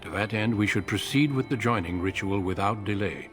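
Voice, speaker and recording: Man acting a low-voiced, solemn veteran warrior commander. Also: fairly bright tone, soft background music, close to an everyday voice. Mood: solemn, measured. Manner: measured, formal, solemn. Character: grave seasoned warrior commander, dutiful, wary and courteous. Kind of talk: fantasy video game acting.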